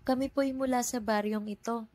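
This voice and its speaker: A woman's voice: on the high side, fairly even intonation, moderate pace, a little mellow, natural voice. A woman playing a respectful, earnest daughter from a village in an amateur radio drama. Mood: concerned.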